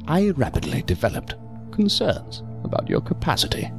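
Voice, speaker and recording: An actor playing a calm, evasive male psychiatrist in an audio drama, speaking in a measured, formal, unctuous manner. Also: a little high, gravelly, over music, full character voice. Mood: smooth, condescending.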